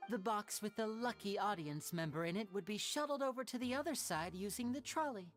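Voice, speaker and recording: A woman's voice: mid-range voice, animated, fairly quick, lightly acted. A woman voicing a suave, playful and confident young male stage magician in a video game. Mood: confident, explaining. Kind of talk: video game dialogue acting. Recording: faint music behind the voice.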